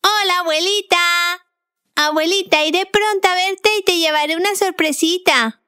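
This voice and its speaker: A woman's voice: very high-pitched, normal speed, sharp tone. A woman voicing a cheerful little witch girl who chats sweetly and giggles in a cartoon.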